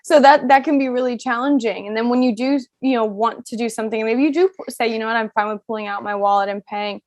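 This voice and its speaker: A woman with a fairly high voice hosts a podcast interview. Her delivery is casual and conversational, and the sound is dry and close with very little room in it. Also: fast, a little mellow, no echo.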